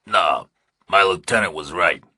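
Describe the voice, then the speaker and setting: A man voicing a bitter, blunt, weary ex-Marine with a gruff delivery in an audiobook thriller. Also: low-pitched, a little slow, sharp tone, dry recording, clean studio sound, full character voice. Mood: bitter, resigned.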